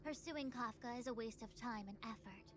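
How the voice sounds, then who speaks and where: Actress as imperious young female diviner-commander, exacting and formal, higher-pitched voice, game score underneath. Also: normal speed, a bit bright, close to an everyday voice. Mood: grave, resolute. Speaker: video game voice actor. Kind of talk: scripted game dialogue, English dub. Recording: game music behind the voice. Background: background game music.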